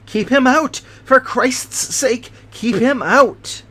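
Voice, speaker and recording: A man voicing a dying, greedy old army major who confesses his guilt in a slow, heavy delivery. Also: very high voice, bright, forceful tone, voice pushed up, full character voice. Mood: terrified.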